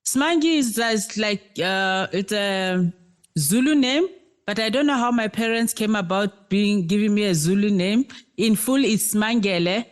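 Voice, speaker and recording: A woman's voice: medium pitch, unhurried. A South African woman co-hosting a podcast, chatting casually and telling stories with light laughter. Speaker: podcast co-host. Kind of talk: casual chat.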